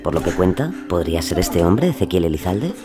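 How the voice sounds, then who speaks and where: Brisk, low-voiced male narrator. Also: very animated. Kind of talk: scripted documentary narration.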